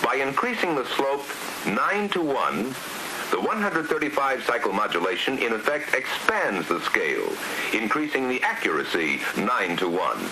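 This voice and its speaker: Man, American accent, 1950s instructional narration, formal didactic delivery, animated pitch, hissy old soundtrack. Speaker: training film narrator. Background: steady hiss.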